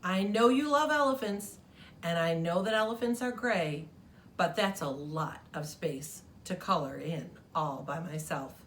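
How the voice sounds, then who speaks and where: A woman's voice: lowish voice, measured pace, a little hiss or hum, voice pushed down, lightly acted. A woman reading the letter of an exhausted, put-upon gray crayon from a storybook, weary and pleading.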